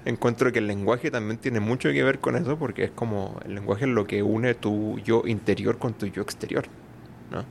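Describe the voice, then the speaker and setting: A man with a Chilean Spanish accent, a writer being interviewed, talking in a relaxed, chatty way with frequent laughs and tag questions. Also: on the low side, normal speed, creaky, a little hiss or hum. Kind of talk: casual conversational interview.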